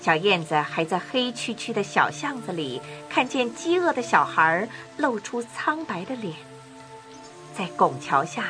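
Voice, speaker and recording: A woman narrating a children's fairy tale from a script, with an expressive storytelling manner. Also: a little high. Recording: clear music bed. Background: music.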